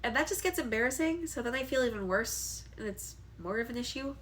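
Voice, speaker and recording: A woman's voice: a little high, animated, a little fast, fairly bright tone, slight background noise. A young woman with a congested voice from allergies, talking candidly to camera in a personal vlog, hesitant with frequent ums. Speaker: vlogger.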